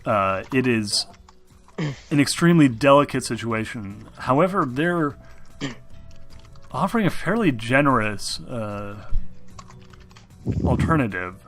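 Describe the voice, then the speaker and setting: Guy running a tabletop game, halting casual delivery, swears a bit, medium voice, music in the back. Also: mid-range voice, animated, fairly bright tone. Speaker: game master. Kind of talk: improvised tabletop game narration. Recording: music behind the voice. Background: background music.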